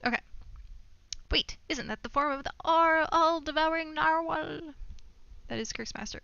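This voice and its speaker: Young woman, casual livestream chatter, easily sidetracked; bright voice in a dry, slightly dull close recording. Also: high voice, a little slow, creaky, no room sound. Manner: rambling, self-distracting, thinking aloud. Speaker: live streamer. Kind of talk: casual gaming livestream chat. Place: indoor room at home.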